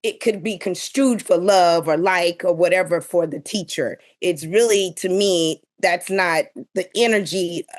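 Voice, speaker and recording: A woman's voice: on the low side, a little slow, hardly any pauses. A woman in a casual panel discussion, giving opinions and explaining her thinking in an informal way. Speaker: podcast panelist.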